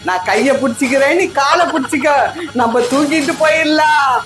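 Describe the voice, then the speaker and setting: A woman voicing a menacing old witch grandmother who eats children, in a horror cartoon with loud music behind. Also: high voice, close to an everyday voice. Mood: gloating. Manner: gloating, slow and menacing. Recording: music playing loudly behind.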